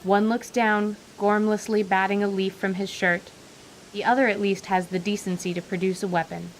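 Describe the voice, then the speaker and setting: A woman's voice: medium pitch, flat delivery, normal speed, slight background noise, rough recording. A woman giving dramatic, scripted narration for a fantasy radio play. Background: music.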